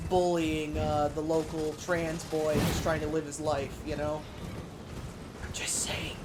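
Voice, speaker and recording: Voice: mid-range voice, noisy background. A young adult game streamer with a youthful-sounding voice, chatting casually in a rambling, frank, emphatic way and swearing freely. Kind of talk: casual chat while gaming. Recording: game music behind the voice. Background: video game music.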